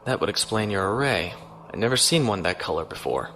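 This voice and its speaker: An actor playing a steady, courteous starship captain in a science fiction audio drama, crisp and authoritative. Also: male voice, on the low side, brisk, slight background noise. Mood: composed, wry. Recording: faint music behind.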